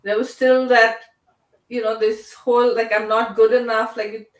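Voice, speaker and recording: A woman hosting a casual live online group discussion, speaking in a halting, conversational way and often restarting her phrases. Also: on the high side, little pitch movement. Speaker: host. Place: indoor room on live online video call. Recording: echoey room, distant microphone.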